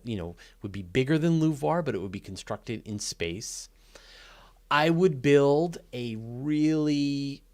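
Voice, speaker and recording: A man's voice: mid-range voice, a little mellow. A man talking casually and off the cuff as the host of a live question session, thinking aloud in a conversational, keen way. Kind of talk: casual unscripted Q&A talk about space and astronomy.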